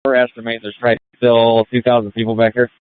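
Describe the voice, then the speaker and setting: A man's voice: medium pitch, little pitch movement, fast talker, clear, resonant tone, no echo. A man working as an emergency medical responder, giving clipped, procedural status reports over a two-way radio. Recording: tinny narrow-band radio channel.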